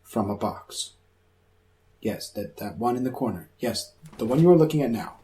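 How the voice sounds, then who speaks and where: A man's voice: mid-range voice, animated, normal speed, warm tone, natural voice. A man playing an omnipotent, quirky supercomputer in a comic radio drama, speaking in pompous, convoluted and oddly formal sentences. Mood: pompous, instructive.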